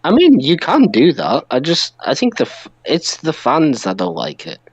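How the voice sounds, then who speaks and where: A man's voice: a little high, wildly swooping pitch, moderate pace, breathy edge, dry recording, dull audio. A British man chatting casually and with strong opinions as co-host of a wrestling podcast. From United Kingdom.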